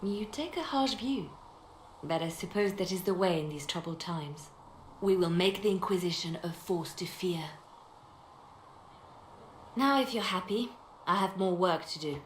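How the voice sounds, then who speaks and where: A roomy, echoing game recording of an actress playing a ruthless spymaster. She is a woman with a low voice, controlled and stern. Her delivery is cool and weary, with faint noise behind it. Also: unhurried, long pauses, natural voice. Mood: cool, commanding. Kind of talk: video game dialogue acting.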